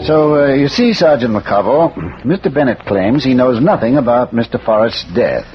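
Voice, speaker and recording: An actor playing an erudite schoolmaster turned amateur detective in 1940s radio drama, polite, hesitant and dryly witty. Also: male voice, mid-range voice, animated, moderate pace, over music, lightly acted. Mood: polite, probing. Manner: polite, hesitant, wry, learned. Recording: noisy muffled vintage radio recording.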